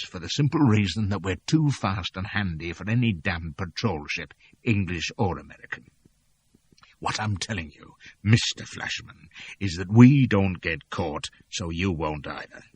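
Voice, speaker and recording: A man voicing a cold, menacing slave-ship captain in an audiobook, speaking slowly and quietly with quiet command. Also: lowish voice, slightly rough. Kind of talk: audiobook character voice.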